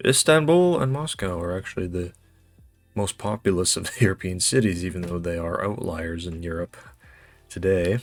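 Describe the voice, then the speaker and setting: Low-voiced North American man musing aloud. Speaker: host playing a geography quiz. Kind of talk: casual game commentary, thinking aloud.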